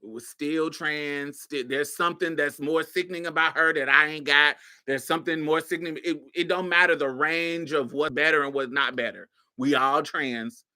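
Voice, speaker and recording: A woman's voice: sits low, normal speed, few pauses, bright, forceful tone. A woman hosting a podcast, giving a casual monologue in a sassy, blunt way. She swears freely and laughs often. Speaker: podcast host.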